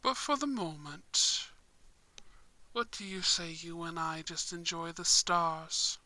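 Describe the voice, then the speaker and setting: A courtly vampire prince acted out by a woman for a romantic ASMR roleplay. The voice is bright and forward, recorded close and dry. The phrasing is formal and unhurried, with a suave, smug charm. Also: on the low side, animated, no room sound, pitched below the performer's own voice, full character voice. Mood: tender, romantic.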